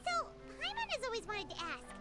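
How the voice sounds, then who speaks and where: A tiny childlike game sidekick with an extremely high, bouncing voice. She is chirpy and curious and refers to herself by name. Game music plays behind her. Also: wildly swooping pitch, fairly quick, heavily acted. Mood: puzzled. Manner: talks about self in third person. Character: small childlike floating companion, chirpy and curious. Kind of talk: video game voice acting.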